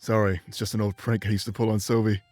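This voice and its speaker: Fantasy visual novel role for a male actor: former inquisitor, lowish voice, calm, contrite, measured. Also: dry recording, faint music behind, natural voice. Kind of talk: visual novel character voice acting.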